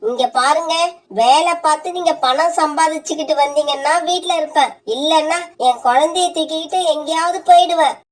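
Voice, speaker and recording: A woman plays a grasping young wife bird in a cartoon who bosses her husband around. She scolds in a very high, piercing voice. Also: a little fast, lightly acted. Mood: bossy. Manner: scolding, bossy. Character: greedy young wife bird, sharp-tongued.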